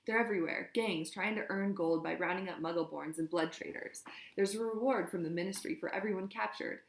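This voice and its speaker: A woman reading a storybook, voicing a defensive teenage boy who shouts back and talks fast. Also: lowish voice, creaky, roomy sound, rough recording.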